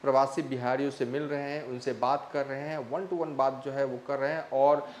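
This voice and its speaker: A young man presenting a news report to camera with a lapel mic in a small studio, pausing often on "uh" fillers. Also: mid-range voice, flat delivery, a little hiss or hum. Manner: halting, many "uh" fillers. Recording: roomy echo.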